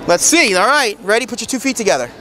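High-pitched man, insistent. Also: sing-song, quick pace, pushed and bright. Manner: insistent, repeats short commands. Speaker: product demonstrator at a convention booth. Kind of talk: informal hands-on product demonstration. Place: convention booth in large indoor hall. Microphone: camera a few metres away. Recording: busy convention hall crowd noise.

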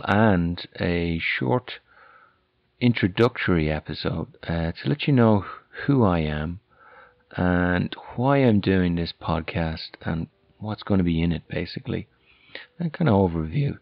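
A middle-aged Irish man with a softened accent hosting a casual solo podcast, talking in a hesitant, rambling, self-correcting way. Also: low voice, creaky, dry recording. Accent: Irish, softened by years abroad. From Ireland. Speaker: podcast host and author. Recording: dull, lo-fi, close dry sound.

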